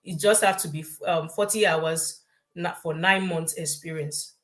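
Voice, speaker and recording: A woman's voice: on the low side, fairly even intonation, a little slow. A Nigerian woman, a science teacher, giving emphatic, reassuring advice in a casual panel chat.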